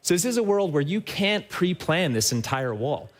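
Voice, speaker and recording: A man who heads a company, giving a lively talk on a trade show stage and speaking straight to the audience, often tagging his points with a quick question. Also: fairly high pitch. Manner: upbeat and enthusiastic, often checks in with a tag question; addresses the audience directly. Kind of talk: live conference presentation.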